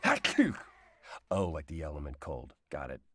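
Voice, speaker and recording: A man's voice: a bass voice, wildly swooping pitch, moderate pace, background noise. A man co-hosting a video game showcase, presenting scripted comedy in a playful, theatrical, jokey way.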